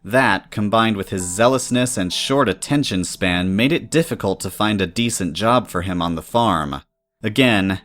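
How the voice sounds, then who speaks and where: Low-voiced male story narrator. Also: a bit bright.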